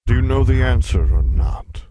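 A man voicing a huge, mocking and menacing sphinx in an audiobook, booming and theatrical. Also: normal speed, a little mellow, dry recording, dull audio, natural voice. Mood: stern. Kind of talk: audiobook character voice.

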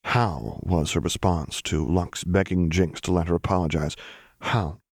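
Male audiobook narrator, very deep register, dry sound, scripted fiction. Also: steady tone, a little slow, husky, no room sound.

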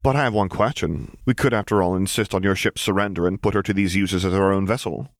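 A man's voice: sits low, fairly even intonation, quick pace, no echo, clean studio sound. A man voicing a poised, politely steely princess and stateswoman with a composed, diplomatic manner, in an audiobook. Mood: thoughtful, probing. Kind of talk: audiobook character voice.

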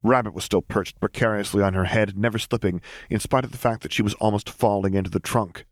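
Deep-voiced male audiobook narrator. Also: steady tone, fast, no echo, studio quality. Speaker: audiobook reader.

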